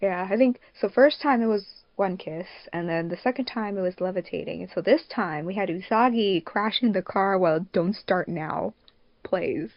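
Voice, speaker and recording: A woman hosting a casual podcast, talking in an animated, rambling, slangy way. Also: mid-range voice, normal speed, dry recording. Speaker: podcast host and fan dub producer. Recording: dull, dry lo-fi mic.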